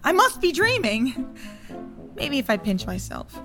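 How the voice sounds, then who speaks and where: A woman's voice: sits high, huge pitch swings, measured pace, over loud music, exaggerated voice. An actress playing a bewildered, startled young woman in an audio drama, incredulous and flustered.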